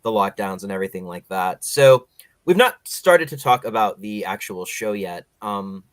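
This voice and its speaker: A man's voice: lowish voice, expressive, normal speed, no echo. A man hosting a podcast, talking with guests in a casual, conversational interview style.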